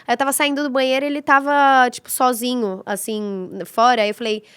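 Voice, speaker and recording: A woman guest chatting casually and telling stories in a hoarse voice. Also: high-pitched, level tone, fairly quick, a bit bright, dry recording. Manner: chatty, storytelling with quoted voices and laughter. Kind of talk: casual chat.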